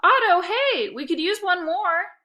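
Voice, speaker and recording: A woman voicing a pushy, excited boy calling out to a friend in a children's storybook. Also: extremely high pitch, measured pace, voice pushed up, exaggerated voice. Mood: urgent, excited.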